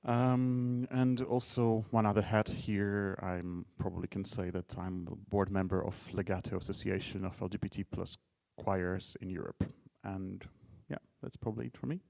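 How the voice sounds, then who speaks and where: Man in his 30s from Poland, panelist, gravelly and low, halting. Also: fairly even intonation, darkish tone. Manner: halting, repeats words. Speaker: panelist, choir board member. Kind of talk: roundtable introduction. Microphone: handheld mic.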